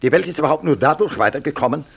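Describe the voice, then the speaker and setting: An actor playing an outspoken, hot-tempered medical professor in a 1960s German radio drama, raspy and rhetorical. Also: male voice, a little high, lively intonation, rapid-fire, heavily acted. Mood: indignant, scathing. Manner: emphatic, rhetorical.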